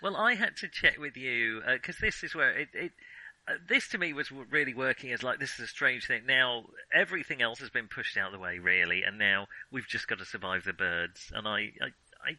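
A man's voice: mid-range voice, fairly quick, bright, forceful tone, no room sound, low-quality recording. A man in a casual film discussion as a podcast co-host, thinking aloud and often correcting himself as he goes.

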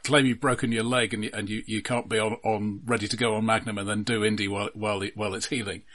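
A man's voice: fairly low pitch, flat delivery, fast, fairly bright tone. A man co-hosting a casual film discussion, explaining background details in a matter-of-fact way. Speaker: film discussion podcast host.

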